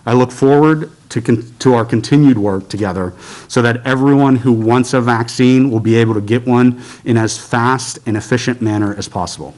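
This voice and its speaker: An American man speaks at a medium pitch in a formal, earnest way. He delivers prepared remarks as a legislator would at a press briefing. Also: normal speed, a little mellow. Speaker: state legislator.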